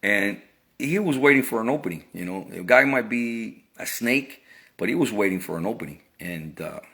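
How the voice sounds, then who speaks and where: A man's voice: lowish voice, expressive, normal speed, creaky, fairly bright tone. A middle-aged man from Cuba talking through his opinions in a casual monologue, earnest and often repeating words as he restarts phrases. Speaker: vlogger.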